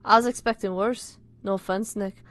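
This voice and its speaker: A woman's voice: mid-range voice, steady tone, normal speed, a bit bright, natural voice. A woman voicing crude, exasperated young British men in a story, with a wobbly Liverpool accent and blunt, sweary delivery. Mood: blunt gossip. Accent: wobbly put-on Liverpool. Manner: blunt, sweary, mock-dramatic.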